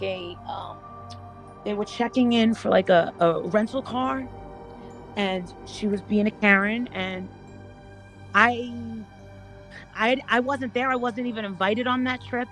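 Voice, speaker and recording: A woman chatting casually as a panel guest, rambling and haltingly repeating her words as she goes. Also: mid-range voice. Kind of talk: casual gossip chat.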